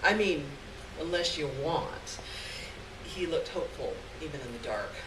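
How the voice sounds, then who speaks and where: Slow-paced woman reading aloud, distant and roomy. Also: deep voice. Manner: expressive, acting out the dialogue. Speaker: story reader. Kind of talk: live dramatic reading of literary short fiction. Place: live stage event, recorded from a distance. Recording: roomy distant live recording, clear background noise.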